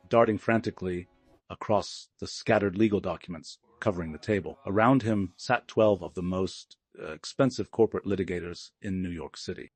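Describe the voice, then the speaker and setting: A man narrates dramatic fiction in a low-pitched voice, captured dry and close with no room around it. He delivers the scripted prose as an audiobook storyteller. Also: darkish tone, no room sound.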